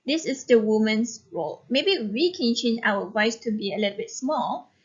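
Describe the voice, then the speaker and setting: A woman teacher reading a story aloud and giving instruction in a deliberate, instructive manner. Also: fairly high pitch, lively intonation, husky, highs cut off.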